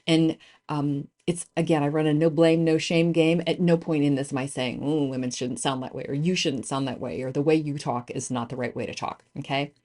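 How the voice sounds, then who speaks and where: A woman's voice: sits low, mellow. A woman hosting a business podcast, introducing the episode and her guest in an emphatic, chatty way with frequent fillers. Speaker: podcast host.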